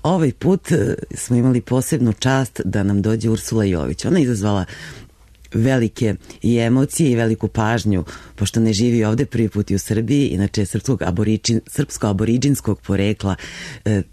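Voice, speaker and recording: A man with a Serbian accent hosting a radio interview, formal, in halting English. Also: lowish voice, mellow, dry recording. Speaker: radio host.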